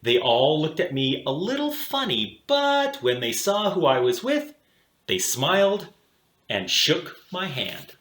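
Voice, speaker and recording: A man narrates a children's storybook as a read-aloud host. His voice is bright and forceful, with lively, dramatic swings and deliberate pacing. The recording has a clear room echo. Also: a little high.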